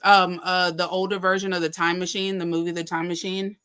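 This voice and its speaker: Man, casual livestream chat, slangy, laughs a lot, bright tone, dry close sound. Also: high voice, flat delivery, fairly quick, clean tone, dry recording. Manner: laid-back, laughs often, slangy. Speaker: livestream co-host.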